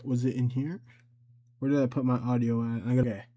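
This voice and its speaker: A man with a medium-pitched voice hosts a casual software tutorial. He explains things step by step in an informal, unscripted way, often thinking aloud as he works. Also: level tone, brisk. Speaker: tutorial host.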